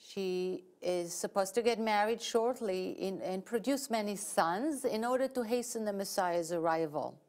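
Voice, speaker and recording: Measured woman with an Israeli accent. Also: medium pitch, a little mellow. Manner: explanatory, measured, precise. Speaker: guest novelist. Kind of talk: interview answers.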